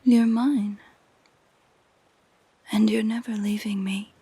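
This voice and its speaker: A woman voicing a lonely, possessive female siren in a soft, breathy whisper, coaxing and intimate, for a roleplay audio drama. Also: mid-range voice, fairly even intonation, full character voice. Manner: slow, gentle, intimate.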